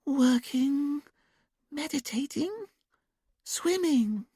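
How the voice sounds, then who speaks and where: A man voicing a hypnotized young woman who answers in a quiet, childlike sing-song whisper. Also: high voice, lively intonation, dry recording, studio quality, voice pushed up, exaggerated voice. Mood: dreamy trance. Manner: slow, drifting answers.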